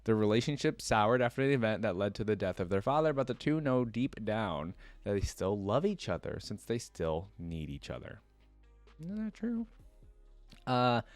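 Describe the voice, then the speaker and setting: A man's voice: mid-range voice, no room sound. A man talking casually and enthusiastically as a reaction video host, commenting and reading text aloud.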